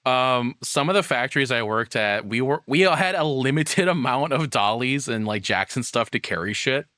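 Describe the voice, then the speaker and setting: A man's voice: medium pitch, expressive, moderate pace, sharp tone, dry recording, studio quality. A man giving casual reaction commentary as a content creator, rambling and frank, with casual swearing.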